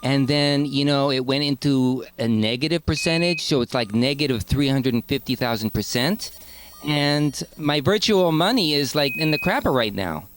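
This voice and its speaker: Male podcast host, wry and conversational, lively pitch, faint noise on the recording. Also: moderate pace. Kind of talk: casual comic interview.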